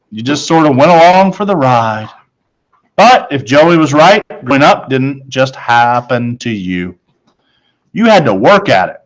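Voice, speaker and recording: A man narrating a children's novel in the first person as a schoolboy, in a measured storytelling manner. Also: fairly high pitch, poor audio. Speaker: storybook reader.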